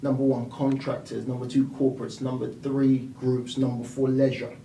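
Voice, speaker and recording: A man guesting on an interview show, telling stories with lively quoted voices, from the United Kingdom. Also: medium pitch, moderate pace, vocal fry, slight background noise. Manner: animated storyteller, acts out quoted voices. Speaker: property investor and mentor. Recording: echoey room, mic at a distance.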